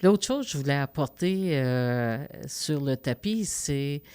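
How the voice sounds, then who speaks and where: Podcast guest: slow, hesitant, lots of fillers, smooth clean voice. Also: mid-range voice, animated, darkish tone. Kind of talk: podcast panel discussion.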